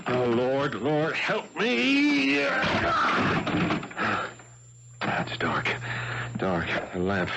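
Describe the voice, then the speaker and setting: An actor playing an ardent young policeman in a 1970s radio drama, halting, emotional and theatrical. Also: mid-range voice, huge pitch swings, gravelly, heavy background noise, exaggerated voice. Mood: frantic, terrified. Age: young adult. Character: ardent young French policeman, frantic for his fiancée. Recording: dull lo-fi 1970s radio broadcast.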